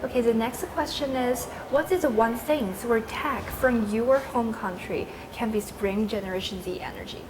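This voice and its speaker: A woman's voice: fairly high pitch, normal speed, hardly any pauses, background noise. A woman hosting and moderating a round-table discussion, with slightly unidiomatic phrasing. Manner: brief backchannel replies, slightly unidiomatic phrasing. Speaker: host.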